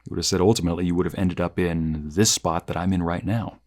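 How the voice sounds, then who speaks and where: A man talking through a conversational review as a host, reflective and halting, often rephrasing his thoughts as he goes. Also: low voice, fairly even intonation, a little mellow. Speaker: host of story review channel, narrator.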